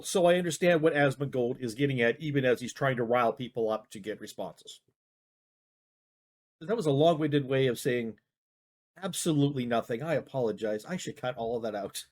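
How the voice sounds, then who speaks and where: A man giving casual reaction commentary, rambling and hedging with frequent restarts. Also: medium pitch. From Canada. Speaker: YouTube commentator.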